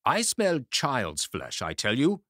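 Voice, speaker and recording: A man voicing a menacing, greedy ogre in a storybook, slow, emphatic and gloating. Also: mid-range voice, huge pitch swings, fairly bright tone, no room sound, studio quality, voice pushed up, heavily acted. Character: booming menacing greedy male ogre, gloating child-eater.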